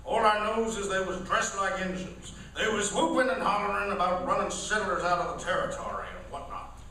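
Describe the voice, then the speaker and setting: A man's voice: high voice, animated, a little fast, pushed and bright. A man playing a folksy frontier loner with an Old West American drawl in a comic Western radio play. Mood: plainspoken, wry. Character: folksy frontier loner, a dry-mouthed drawling prairie cowboy. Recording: echoey hall, distant mic, faint noise.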